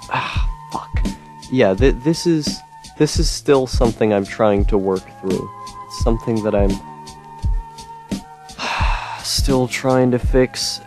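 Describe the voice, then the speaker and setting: A young man talking to camera in a rambling monologue with a droning, monotone voice, full of ums, restarts and frustrated swearing. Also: fairly low pitch. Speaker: YouTuber. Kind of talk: personal monologue.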